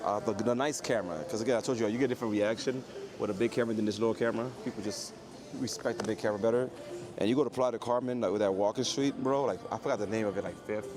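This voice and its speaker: A man with a low voice chatting casually and rambling to his livestream viewers as he walks through an airport terminal. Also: rapid-fire. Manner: rambling, joking, talks quietly. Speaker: IRL livestreamer. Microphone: phone or camera carried while walking. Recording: noisy phone livestream audio.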